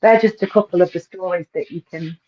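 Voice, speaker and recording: A woman's voice: lowish voice, brisk, a little mellow. Muffled woman, eager. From English East Midlands. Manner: enthusiastic, hesitant with many ums and repeats. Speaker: guest author and paranormal researcher. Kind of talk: casual interview chat.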